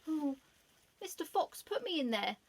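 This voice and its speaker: A woman voicing a small, frightened little boy in a children's story, high and worried. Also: sing-song, unhurried, exaggerated voice.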